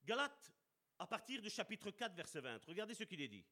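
A man preaching a sermon with passion, slowing down to stress key words and calling out to his brothers and sisters. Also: high-pitched, sing-song, bright, forceful tone. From Italian heritage. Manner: impassioned, addresses congregation as brother and sister.

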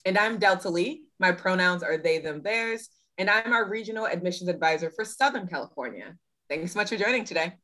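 A woman's voice: on the low side, fairly quick, bright, forceful tone. A woman co-hosting a podcast in an upbeat, friendly conversational style. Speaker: podcast co-host, admissions advisor.

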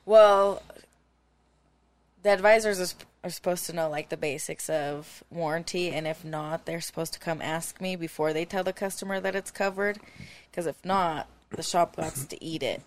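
A woman's voice: fairly low pitch, fairly even intonation, normal speed. A woman in her twenties talking in casual banter as a podcast guest, slangy and sassy. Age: 20s. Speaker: car dealership warranty clerk.

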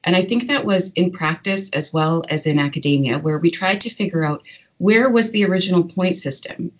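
A woman's voice: fairly low pitch, moderate pace, highs cut off. A woman guest on a podcast, a law academic explaining her work thoughtfully with fillers. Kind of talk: podcast interview.

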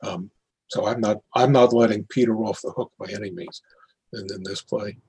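An older playwright and former teacher reflecting on his work in a halting manner, with long pauses and a rough voice. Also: fairly low pitch, fairly even intonation, a little mellow. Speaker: playwright and retired educator. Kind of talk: reflective discussion of own work.

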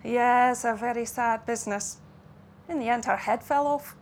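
A woman's voice: fairly high pitch, moderate pace, slight background noise, close to an everyday voice. A woman reading the lines of a playful young Russian man who teases the new governess. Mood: teasing. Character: teasing young Russian man, playful, with a Scots-tinged accent.

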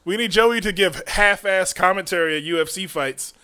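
A young man hosting a casual comedy podcast, joking loudly and laughing often. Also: high-pitched, a crowd in the background. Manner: loud, laughing, fast joking delivery. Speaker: podcast host.

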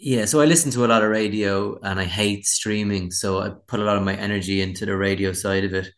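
Low-pitched Irish man chatting casually. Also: steady tone, quick pace. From Dublin, Ireland. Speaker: musician being interviewed. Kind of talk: casual chat.

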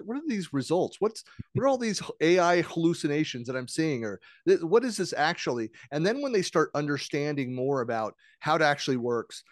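A man's voice: medium pitch, no room sound, clean studio sound. A male podcast host who talks through his questions in a chatty, rambling way with many restarts. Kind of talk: podcast interview, conversational.

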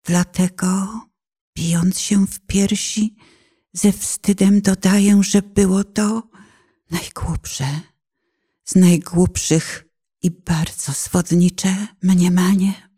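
A middle-aged woman giving a solemn, dramatic recitation of a poetic prayer in a penitent, emotive and measured manner. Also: mid-range voice, fairly even intonation, mellow, slightly rough. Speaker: narrator reciting own poetic testimony.

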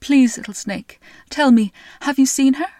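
A woman's voice: sits high, moderate pace, dark tone, no echo, pitched above the performer's own voice, close to an everyday voice. A woman voicing a weary grown king searching for his wife and child, speaking in a raised, pleading, emotional manner.

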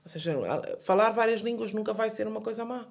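A woman's voice: fairly high pitch, fast, creaky. A woman, answering an interview in an earnest way, often restarting and repeating words. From Portugal. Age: 40s. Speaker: guest. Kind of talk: interview conversation.

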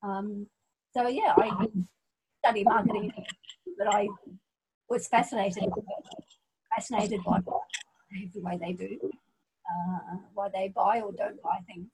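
A woman's voice: mid-range voice, fairly even intonation, slow, pauses often, a little mellow, slight background noise, poor audio. A woman in her forties who was born in Scotland and grew up in Australia, speaking as an interview guest, thoughtful, with frequent ums and restarts. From Scotland, raised in Australia. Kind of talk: casual interview conversation.

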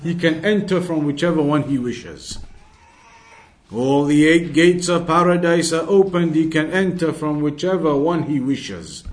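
A man with a notably high-pitched voice teaches an Islamic lesson. He reads narrations and explains them with emphasis, restating key phrases so that they are clear. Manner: emphatic, repeats and rephrases phrases for clarity. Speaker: religious teacher.

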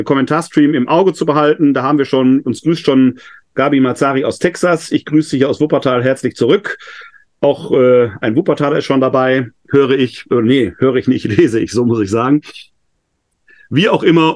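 A man's voice: medium pitch, darkish tone. A man with a slight cold hosting a live webinar discussion, chatty and full of hesitations. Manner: chatty, many filler hesitations. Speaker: host, New Testament scholar.

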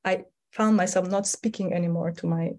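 Hesitant Albanian-speaking woman, lowish voice. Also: fairly even intonation, a little fast, a little mellow. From Kosovo. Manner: hesitant, many fillers and restarts, laughs often. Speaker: playwright guest. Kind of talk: conversational interview.

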